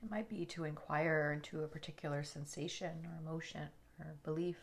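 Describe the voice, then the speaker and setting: A woman leading a guided relaxation meditation in a soothing, unhurried way, with soft, breathy phrase endings. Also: sits low, fairly even intonation. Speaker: yoga nidra meditation guide.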